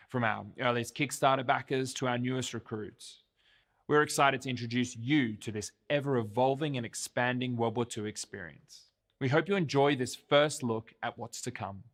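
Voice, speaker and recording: Male game developer, average pitch, scripted showcase announcement delivery. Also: normal speed, a bit bright.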